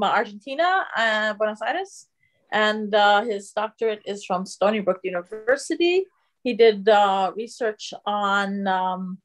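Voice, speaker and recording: A woman hosting an online math session who chats and introduces the speaker, with frequent restarts. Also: medium pitch. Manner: chatty, laughs easily, restarts. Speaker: session host. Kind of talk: casual chat and speaker introduction. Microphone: video call.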